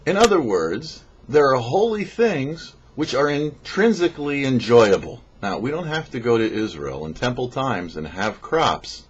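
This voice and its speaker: Male rabbi for scripted teaching segment: husky, very animated intonation, didactic, slightly muffled recording. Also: lowish voice. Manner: didactic, poses questions and answers them. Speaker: rabbi giving a weekly Torah portion talk. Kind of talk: scripted religious teaching, read aloud.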